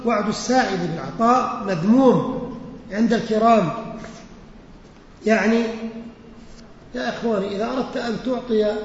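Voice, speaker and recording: A man teaching a religious lesson who recites verses slowly, repeats them and explains their words with questions to his listeners. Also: high-pitched, a little hiss or hum. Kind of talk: lesson explaining poetry and sayings. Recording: echoey hall, muffled lo-fi recording.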